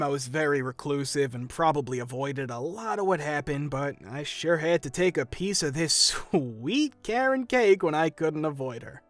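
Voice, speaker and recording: Lively man narrating reader stories. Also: on the high side. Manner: lively, expressive reading with small laughs. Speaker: story narrator. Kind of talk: scripted narration of a reader's story.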